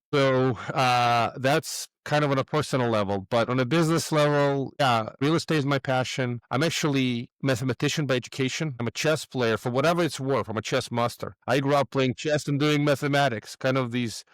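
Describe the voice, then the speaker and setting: Man, smooth and confident. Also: mid-range voice, dry recording. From Moldova. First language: Russian. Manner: confident, jokey, explanatory. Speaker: real estate investor guest. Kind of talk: podcast interview.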